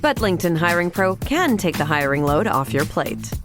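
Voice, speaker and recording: A woman's voice: lowish voice, very animated, normal speed. A woman reading a scripted advert as a wry storytelling announcer. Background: music.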